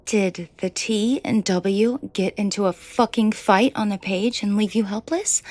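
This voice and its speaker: A woman playing a menacing, protective and flirtatious mafia boss in an intimate audio roleplay, with a breathy, husky voice and dry sarcasm. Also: a little mellow, dry recording, lightly acted. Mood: furious, scathing. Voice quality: breathy, husky low voice with vocal fry. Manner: slow, deliberate, dryly sarcastic, often dropping to a murmur. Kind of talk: intimate audio roleplay acting addressed to the listener.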